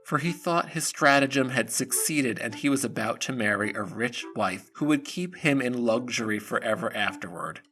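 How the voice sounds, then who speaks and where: A male narrator with a bright, forceful voice at a medium pitch. He tells a storybook tale in a measured, theatrical way, with faint music behind him. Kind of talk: scripted storybook narration for audio drama.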